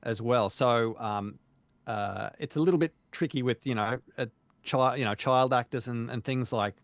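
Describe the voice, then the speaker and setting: A man, a voice actor, talking in a casual interview, measured and hesitant with many pauses and restarts. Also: mid-range voice, no room sound. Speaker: voice actor guest.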